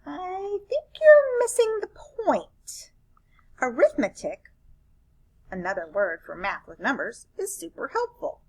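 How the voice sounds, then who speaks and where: A woman's voice: high voice, big pitch swings, unhurried, a little mellow, voice pushed up, full character voice, rough recording. A woman reading a children's picture book aloud, voicing a curious, cheerful space alien who explains things eagerly. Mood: eager explaining.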